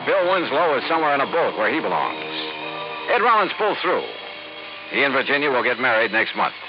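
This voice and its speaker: A man's voice: medium pitch, sing-song, fairly quick, lightly acted. An American man acting a tough, shrewd investigator in a clipped, dry, hard-boiled manner for a 1950s radio detective drama. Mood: wry. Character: tough, shrewd male freelance insurance investigator. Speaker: lead actor and narrator. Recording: muffled old radio recording with music.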